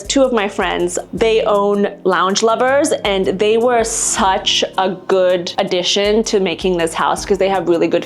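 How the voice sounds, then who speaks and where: A woman vlog host from Singapore giving a casual, chatty house tour indoors, explaining things conversationally to camera. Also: medium pitch. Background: background music.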